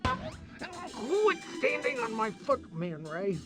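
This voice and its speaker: A man's voice: very high-pitched, huge pitch swings, drawn-out words, over loud music, exaggerated voice. A man voicing a grumpy, sceptical cartoon villain bubble in a complaining manner. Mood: irritated.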